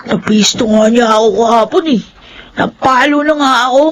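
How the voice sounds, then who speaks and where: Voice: medium pitch, moderate pace, natural voice, low-quality recording. An actor in a Filipino radio drama playing a defiant, whiny schoolboy who argues and pleads. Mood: brash, sulky. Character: whining, defiant schoolboy son who skips class.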